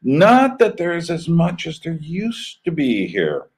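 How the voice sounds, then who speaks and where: A man's voice: fairly high pitch, wildly swooping pitch, unhurried. A man from the United States talking casually on a live stream, rambling with many fillers, restarts and chuckles. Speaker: channel host.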